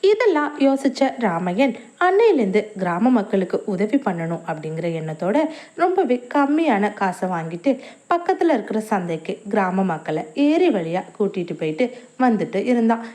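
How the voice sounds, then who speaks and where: A woman narrating a cartoon moral story in a clear, even storytelling manner. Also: mid-range voice.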